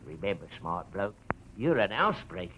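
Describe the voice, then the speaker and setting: An actor playing a menacing, short-tempered crook with a rough voice in a 1940s radio crime drama. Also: male voice, low-pitched, big pitch swings, moderate pace, pushed and bright, slight background noise, heavily acted, poor audio. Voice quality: rough, gravelly.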